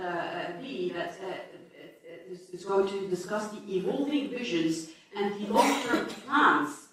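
A woman with a Lebanese accent presents her paper at a conference, pausing often on fillers and correcting herself mid-sentence. She stands back from the microphone. Her voice rings through a large, strongly echoing hall. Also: medium pitch, a bit bright. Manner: halting, many fillers and self-corrections. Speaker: conference speaker. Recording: echoey hall from far off.

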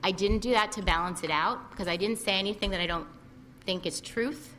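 A woman with a Lebanese-American accent speaks at a book talk with a bright, ringing tone. Her delivery is animated and chatty, full of restarts. A faint hiss sits under the recording. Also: medium pitch, fairly quick. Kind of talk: casual talk at a reading.

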